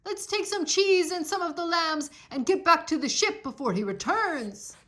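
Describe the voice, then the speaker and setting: A woman voicing frightened ancient Greek sailors in a storybook, with hushed, urgent, fearful delivery. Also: very high voice, animated, moderate pace, a little hiss or hum, voice pushed up. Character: frightened Greek sailors, men facing a giant's cave.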